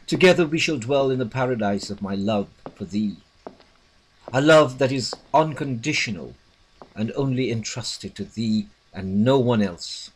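A man's voice: mid-range voice, a little slow, a little hiss or hum, close to an everyday voice, rough recording. A man playing a lovestruck young widowed noblewoman in a theatrical, declamatory Shakespearean soliloquy, yearning and poetic. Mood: rapturous longing. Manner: declamatory, archaic, romantic delivery.

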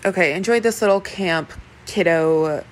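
A woman's voice: on the low side, normal speed, soft background music. A young woman in casual vlog talk, chatty and self-deprecating, narrating her riding over voiceover. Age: young adult. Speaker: equestrian vlogger. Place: indoor riding arena and barn, some outdoors.

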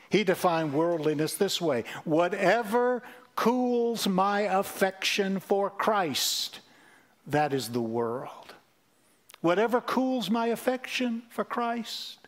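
A man in his sixties, a senior pastor, preaching an earnest sermon on a church stage into a headset mic, often repeating phrases. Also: on the high side. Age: 60s.

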